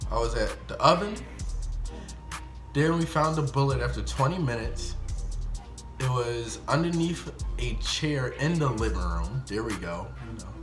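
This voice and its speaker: A young man with an American accent telling a casual, slangy first-person story in an animated way, acting out bits of dialogue. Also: medium pitch. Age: young adult. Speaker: YouTube storyteller.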